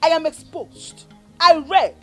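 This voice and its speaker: A woman's voice: very high voice, slow, faint music behind, exaggerated voice. An actress playing a scornful older sister who bullies and accuses her sibling. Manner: taunting, shouting insults.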